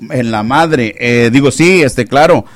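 Joking radio host, dry studio sound. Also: male voice, mid-range voice, unhurried, no echo. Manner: joking, chatty, teasing callers. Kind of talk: casual call-in radio banter. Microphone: studio microphone.

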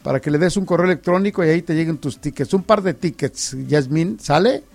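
A man's voice: fairly high pitch, fairly quick, gravelly, a little mellow. A man hosting live radio, chatting casually and teasing callers, often repeating his phrases. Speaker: radio host.

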